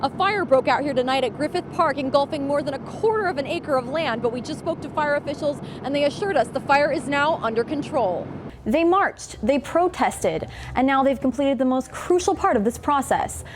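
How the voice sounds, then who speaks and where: High-voiced woman reporting the news. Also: expressive, normal speed, noisy background. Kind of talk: scripted broadcast news reporting.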